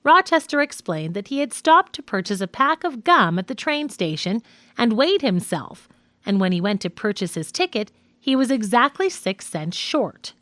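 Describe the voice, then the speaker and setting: A woman reading a scripted nonfiction audiobook as its narrator, in an articulate, measured manner. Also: a little high, sing-song, a bit bright, dry recording.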